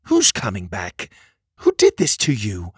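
A man voicing a curious, level-headed male adventurer in a storybook, asking questions calmly. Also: on the low side, a little slow, slightly rough, dry recording, clean studio sound, exaggerated voice.

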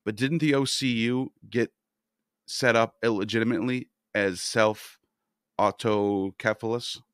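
A man hosting a live stream, reading out viewer comments and chatting in a relaxed way. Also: fairly low pitch, measured pace, smooth, no room sound, clean studio sound.

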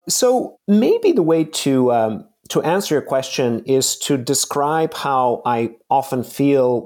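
A man's voice: medium pitch, darkish tone. A man, answering interview questions in careful, reflective academic phrasing. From Bulgaria. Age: late 40s. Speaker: podcast guest, political science professor.

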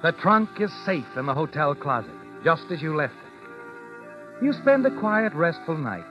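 An actor playing an ominous, needling narrator in an old-time radio suspense drama, addressing the guilty heroine as you. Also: male voice, on the high side, very animated, moderate pace. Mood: insinuating. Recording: dull old radio recording, loud music behind. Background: dramatic music.

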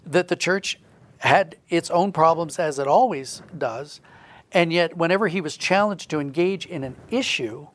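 A man's voice: on the high side, normal speed, a bit bright. A middle-aged man talking reflectively as a guest in a conversational interview, acting out little quotes as he explains. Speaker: guest, audio drama writer.

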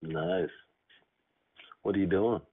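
A man's voice: deep voice, fairly even intonation, takes it slowly, husky. A man in his thirties from Texas, calling in with laid-back, eager flirting over the phone. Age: 30s. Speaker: caller. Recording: tinny phone line.

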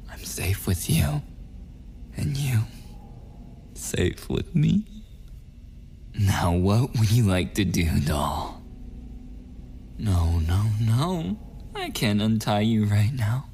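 Man playing a slow-spoken, unhinged possessive yandere boyfriend. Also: on the low side, a little mellow, a little hiss or hum, exaggerated voice. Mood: coaxing, menacing. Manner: slow, drawn-out menace slipping into whispers and giggles. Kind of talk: boyfriend ASMR audio roleplay.

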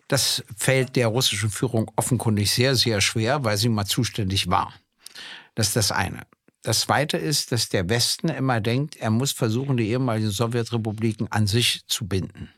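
A man's voice: medium pitch, moderate pace, fairly bright tone, no room sound. Older man in dry political talk. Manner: conversational, hesitant fillers, explains step by step. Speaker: podcast co-host, politician. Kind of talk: political discussion.

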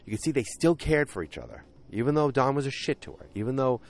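A man hosting a solo podcast, recapping a TV episode in a rambling, self-correcting way, with his voice deepened after a concert, speaking outdoors. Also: sing-song, fast, gravelly. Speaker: podcast host.

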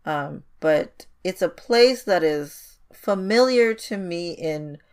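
Woman from indonesia doing casual podcast chat, deep slow voice, repeats words for emphasis. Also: animated, darkish tone, smooth. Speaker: podcast co-host.

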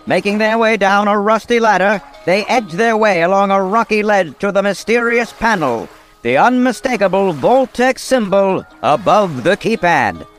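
A man's voice: high-pitched, animated, normal speed, a bit bright, faint music behind. A man narrating an old-time radio mystery from a script in a theatrical, portentous manner.

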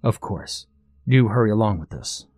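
A man's voice: fairly low pitch, expressive, normal speed, a little mellow, no room sound. A man voicing a spiteful, lawyerly devilish master of a contest in a storybook, formal and condescending in manner. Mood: impatient.

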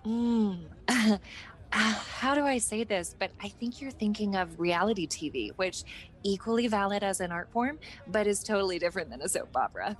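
Casting: actress as eager young female entertainment reporter, mid-pitched, gushing and polite, comic radio sketch. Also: lively intonation, moderate pace, close to an everyday voice. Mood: awkward, polite. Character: chirpy, eager young woman red carpet reporter.

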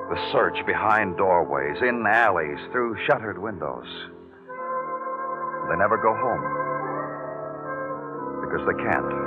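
An actor playing a world-weary, relentless police detective in a 1950s radio noir drama, terse, deliberate and brooding. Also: male voice, low-pitched. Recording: tinny vintage radio with music.

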